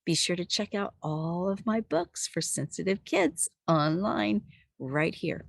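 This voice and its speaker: A woman reading a picture book aloud to young children outdoors, expressively, pausing to put questions to the listener. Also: on the low side, big pitch swings, gravelly, dry recording, studio quality. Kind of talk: animated read-aloud storytelling for young children. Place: outdoors in a backyard with trees.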